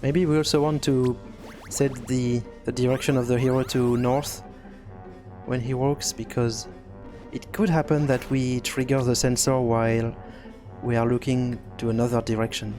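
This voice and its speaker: A man giving an informal software tutorial, thinking aloud in a halting, self-correcting way. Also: mid-range voice, level tone, soft background music. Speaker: tutorial presenter.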